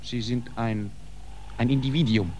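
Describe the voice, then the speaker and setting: A man's voice: medium pitch, fairly even intonation, a little slow, a little mellow, close to an everyday voice. A man playing a self-important, bullying border policeman in a 1940s radio play, with a curt, officious manner. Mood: indignant, scornful. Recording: noisy old radio recording.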